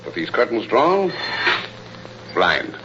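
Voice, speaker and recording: An actor playing a grave, devoted spy who poses as a military colonel, speaking in measured 1930s radio melodrama style. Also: male voice, lowish voice, huge pitch swings, heavily acted. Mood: grave, tender. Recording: muffled, noisy old radio broadcast.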